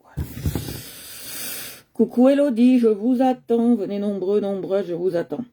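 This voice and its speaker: A woman's voice: mid-range voice, steady tone, unhurried, mellow. A woman from Nice hosting a live coloring stream, chatting casually with many hesitations and fillers, talking into a computer at home. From Nice, France.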